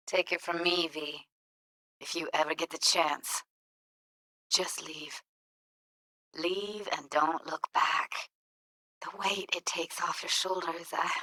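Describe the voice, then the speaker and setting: A woman's voice: fairly low pitch, sharp tone, dry recording, full character voice. A young female technician from a video game, streetwise and guarded with a tender side. She talks casually and bluntly, full of slang, in a naturalistic way. The sound is dry, with hardly any room on it. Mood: wistful, fond.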